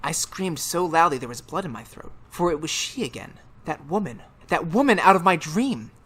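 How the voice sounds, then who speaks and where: A woman playing a tormented, haunted man in a 1930s-style radio horror drama, speaking melodramatically with halting, emphatic repetitions. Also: sits low, very animated, a bit bright, breathy edge, exaggerated voice. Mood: frantic.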